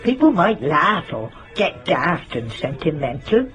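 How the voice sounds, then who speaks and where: A woman's voice: sits low, big pitch swings, measured pace, a bit bright, muffled recording, faint music behind, heavily acted. An actress playing a fond, plain-speaking grandmother in a naturalistic radio drama. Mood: fond, frank. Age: older.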